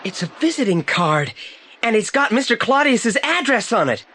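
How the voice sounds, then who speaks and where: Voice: medium pitch, very animated, moderate pace, bright, forceful tone, a little hiss or hum. An actor playing an articulate, decisive teenage boy detective in an audio play, speaking politely and with confidence. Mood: confident, inquisitive. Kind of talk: 1980s audio play acting.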